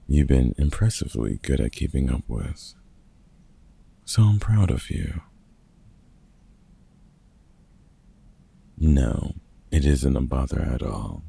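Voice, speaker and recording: An extremely low, heavily creaky voice for a gruff middle-aged vampire hunter acted by a man. He is a protective mentor with a husky, raspy tone and unhurried delivery, teasing and dryly fond in a comfort ASMR roleplay. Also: warm tone, exaggerated voice. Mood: warm, proud. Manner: casual, teasing, sweary, halting.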